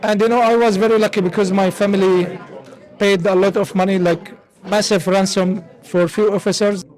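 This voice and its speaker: A man with a Syrian accent giving personal testimony in halting English. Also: sits high, steady tone, darkish tone, rough recording. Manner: halting, frequent "you know". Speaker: interviewee, asylum seeker.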